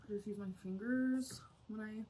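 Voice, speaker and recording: A woman's voice: medium pitch, steady tone, normal speed, dark tone, slightly rough. A woman vlogger chatting casually while she does her makeup, rambling and full of likes. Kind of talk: casual makeup chat vlog.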